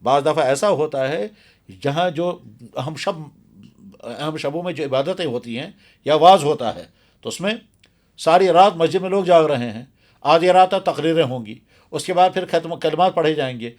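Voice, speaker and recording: Earnest male cleric, slightly high voice. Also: normal speed, a little mellow. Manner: earnest, emphatic, repeats phrases for stress. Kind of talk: explanatory religious talk in an interview.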